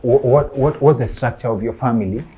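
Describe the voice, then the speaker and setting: A man's voice: mid-range voice, a little fast, husky, dull audio. A man hosting a podcast interview, asking probing questions and pressing his points. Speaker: podcast host.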